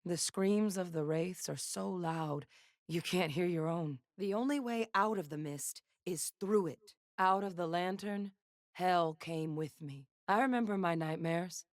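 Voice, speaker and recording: Game role: haunted female sentinel gunslinger; lowish, close and dry, commanding, wry. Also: unhurried, no echo. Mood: brooding, resolute. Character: resolute, haunted woman sentinel gunslinger, back from death. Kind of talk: video game character voice lines.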